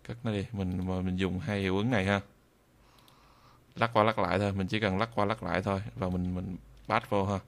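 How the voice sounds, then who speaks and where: A man giving an unscripted software tutorial in a hesitant, halting way, often repeating phrases. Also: deep voice, little pitch movement, creaky. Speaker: video tutorial presenter.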